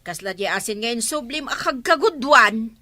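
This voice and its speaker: Animated, exasperated Ilocano storekeeper woman played by an actress. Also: on the high side, normal speed, no room sound. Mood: grumbling. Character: exasperated village councilwoman who keeps a small store. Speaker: actor in comedy drama. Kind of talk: village comedy drama dialogue.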